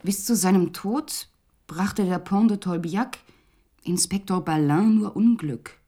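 A woman acting as a sober narrator in a German radio crime play, recounting an old case in a measured, literary way. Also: medium pitch, mellow, natural voice. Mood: sober, reflective.

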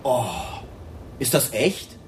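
A man's voice: mid-range voice, animated, takes it slowly. Pushy young man, radio drama, swooping intonation, cheeky, colloquial, faint background noise. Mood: nosy, needling. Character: brash, needling, pushy young man, falsely friendly. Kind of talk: naturalistic radio drama acting.

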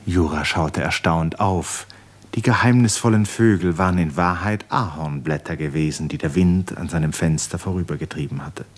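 A man narrating a 1950s literary radio play in a measured, sober manner. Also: low voice.

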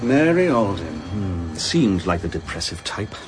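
An actor playing a sarcastic, needling police inspector in a radio drama. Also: male voice, deep voice, big pitch swings, measured pace, music in the background.

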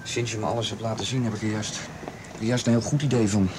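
A man's voice: lowish voice, fairly even intonation, quick pace, background noise, close to an everyday voice. A man playing a concerned, insistent young friend in a radio play. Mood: insistent, worried. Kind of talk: Dutch radio play acting.

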